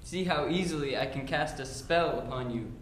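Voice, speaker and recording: A man's voice: medium pitch, normal speed, lightly acted. A teenage boy playing a scheming, scornful sorcerer's pupil in a school radio play, with grand, declamatory delivery. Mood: scornful, gloating. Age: young teenager. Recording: roomy echo, faint background noise.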